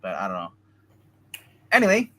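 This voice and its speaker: A man's voice: sits low, huge pitch swings, normal speed, a bit bright. A man co-hosting a livestream panel in casual, joking chat. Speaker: co-host of a comics discussion show.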